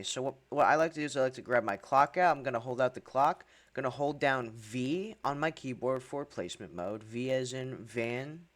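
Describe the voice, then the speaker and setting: A man's voice: mid-range voice, a bit bright. A young man from Hungary giving a casual game tutorial voice-over, chatty, with wry asides and frequent ums. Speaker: gaming tutorial creator.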